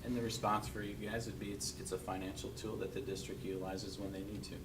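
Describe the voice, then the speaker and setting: A very low-voiced man explains budget choices at a school board meeting. He talks in a steady, explanatory way with plenty of ums. The mic sits well away from him, and a constant rumble runs underneath. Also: recorded from a distance. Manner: measured, explanatory, with frequent fillers. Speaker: district administrator answering board questions. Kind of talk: public meeting discussion.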